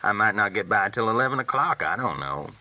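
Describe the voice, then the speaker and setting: A man's voice: lowish voice, very animated, fairly quick, sharp tone, muffled recording, full character voice. A man voicing a wry, teasing old cowhand with folksy rural phrasing in audiobook dialogue. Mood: offhand.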